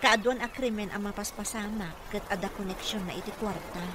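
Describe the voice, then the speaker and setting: A woman's voice: mid-range voice, fairly quick, natural voice. An actress playing a dutiful single mother who works as a caregiver, in Ilocano-accented radio drama, with a deferential, coaxing manner. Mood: curious, gossipy. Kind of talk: Filipino radio drama acting. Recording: noisy radio drama with background ambience.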